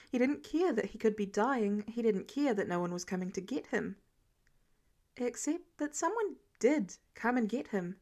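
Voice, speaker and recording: A woman's voice: on the high side, a little mellow. A woman reading scripted fan fiction narration as an audiobook-style storyteller.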